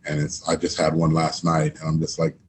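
A man with a very low voice talks in a loose, rambling way, circling back and repeating words as he gathers his thoughts. Behind him is a faint hum of room ambience. He is a former football coach from Miami, speaking as a guest on a podcast. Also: completely flat delivery, a little fast. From Miami, Florida. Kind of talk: casual interview chat.

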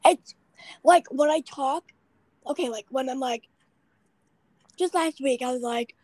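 Young woman podcast host, late teens, raspy and breathy, dry close sound, chatty and self-deprecating. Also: sits high, a little slow, a little mellow, no room sound. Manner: rambling, self-deprecating, many fillers. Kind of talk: casual chat.

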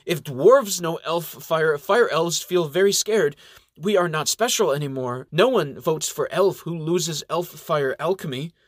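A man's voice: on the high side, unhurried, dry recording, clean studio sound, pitched above the performer's own voice. A man voicing an earnest young elf woman. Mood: earnest, anxious. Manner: broken English, foreign lilt. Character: young female fire elf, earnest, worried.

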